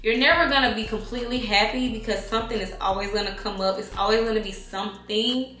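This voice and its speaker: A woman's voice: a little high, steady tone, fast talker, pushed and bright, reverberant, soft background music, low-quality recording. A woman in her thirties answering viewer questions in a casual vlog, candid and slangy, calling listeners honey and baby. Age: 30s.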